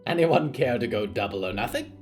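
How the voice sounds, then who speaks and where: A smug, crowing card-player villain, as a male narrator acts him out for an audio drama. He is pompous and quick to take offense, and his pitch leaps around as he talks, with music underneath. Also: animated, a little mellow, close to an everyday voice. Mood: cocky. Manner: pompous, indignant.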